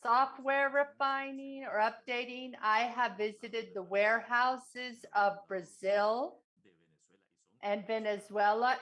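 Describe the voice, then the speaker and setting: A woman interpreting a forum speech, delivering it in measured, halting short phrases. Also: medium pitch, a bit bright, low-quality recording.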